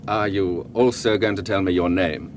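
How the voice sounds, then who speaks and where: An actor playing a wary, sardonic fugitive in a radio drama, smooth and dryly ironic. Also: male voice, sits low, fairly even intonation, moderate pace, natural voice. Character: suave, wary male fugitive, sardonic under pressure. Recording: faintly noisy radio drama, vehicle sounds.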